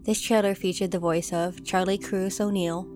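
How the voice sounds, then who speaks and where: A woman narrates a sci-fi horror trailer in a mid-range voice. Her delivery is measured, scripted and dramatic. Music plays behind her. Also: medium pitch, fairly even intonation.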